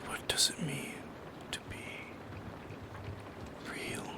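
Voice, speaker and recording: Whispering, lonely shadow creature, voiced by an ASMR performer. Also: close to an everyday voice. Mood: wistful, musing. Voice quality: breathy whisper. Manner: slow, hesitant, philosophical musing. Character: lonely, wistful shadow creature haunting the listener at night. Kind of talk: soft-spoken ASMR monologue.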